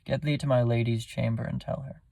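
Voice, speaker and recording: A man's voice: mid-range voice, steady tone, fairly quick, creaky, dark tone, dry recording. A man playing a melancholy, bitterly wry prince, musing theatrically in a Shakespearean stage speech. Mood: bitter mockery.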